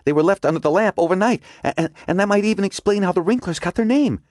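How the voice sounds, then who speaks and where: Male reader voicing a breathless, insistent woman scientist, pitched well up. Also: fast, darkish tone, no echo, clean studio sound, lightly acted. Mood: explaining. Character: determined young woman scientist, urgent.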